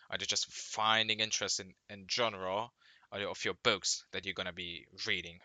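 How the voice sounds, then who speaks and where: A young man whose first language is Turkish, speaking English with a Turkish accent as a vlogger giving reading tips, halting and restarting often as he searches for words. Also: fairly low pitch, pushed and bright, smooth, no echo. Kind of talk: casual talk to camera.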